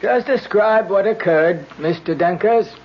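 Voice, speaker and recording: An actor playing a stern, formal courtroom official in a radio drama, clipped and authoritative. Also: male voice, sits high, a little slow. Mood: stern, admonishing. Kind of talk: 1970s radio drama acting. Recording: dull old radio broadcast recording.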